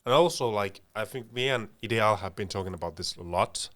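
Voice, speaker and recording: A man's voice: fairly low pitch, fairly quick, a bit bright. A young man co-hosting a podcast, chatting casually and reflectively and acting out bits of imagined conversation. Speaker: podcast co-host.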